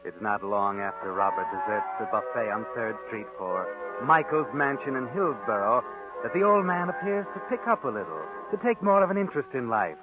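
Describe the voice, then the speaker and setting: An actor as the mysterious narrator of a 1940s radio mystery, telling the story in a knowing, wry way. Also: male voice, medium pitch, very animated, brisk, close to an everyday voice. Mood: sly. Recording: tinny old radio broadcast with loud music.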